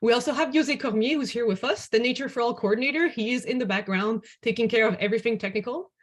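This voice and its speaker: A woman's voice: a little high, fast. A woman hosting an online dialogue, giving a prepared presentation. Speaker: host and moderator.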